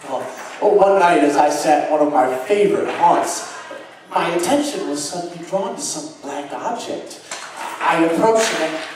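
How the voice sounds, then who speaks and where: A man performing a live dramatic reading as a tormented, halting murderer confessing in a Gothic horror tale. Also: a little high, natural voice. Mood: uneasy wonder. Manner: halting, stammering, repeating words mid-phrase. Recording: echoey, distant live show recording, faint noise.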